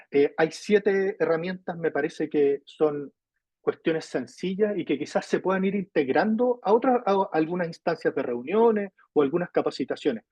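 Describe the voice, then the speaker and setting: A man's voice: on the high side, husky, dry recording. A man with a Chilean accent presenting a webinar in an explanatory, emphatic way, posing questions and answering them himself. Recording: muffled lo-fi webinar audio.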